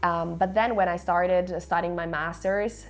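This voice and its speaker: A woman's voice: lowish voice, steady tone, unhurried, faint music behind. Reflective young woman, Italian first language. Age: 20s. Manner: reflective, with hesitant fillers. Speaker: author and vlogger. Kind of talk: personal storytelling to camera.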